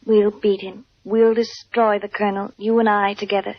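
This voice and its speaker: A woman playing an alluring, devoted, scheming mistress with a breathy voice, in a 1950s radio drama. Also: mid-range voice, level tone, moderate pace, dry recording, natural voice. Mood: coolly scheming. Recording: dull old radio recording.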